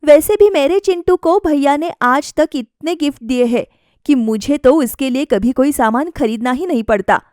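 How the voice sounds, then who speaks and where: High-voiced self-assured young wife, acted by a storyteller. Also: female voice, normal speed, darkish tone, dry recording, studio quality, lightly acted. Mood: earnest. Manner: reasoning, explaining firmly. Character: self-assured young wife, politely defiant daughter-in-law. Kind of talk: acted dialogue in a family story.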